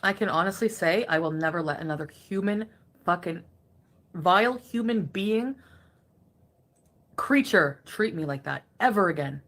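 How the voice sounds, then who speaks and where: Low-voiced woman talking emotionally on stream. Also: lively intonation, moderate pace, fairly bright tone. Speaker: streamer in a played clip. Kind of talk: casual livestream talk.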